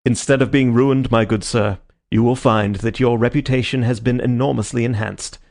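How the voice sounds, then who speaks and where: A man reading an audiobook, voicing a brisk, theatrical male detective who speaks crisply and courteously. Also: medium pitch, steady tone, a little mellow, lightly acted. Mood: assured. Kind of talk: audiobook character voice.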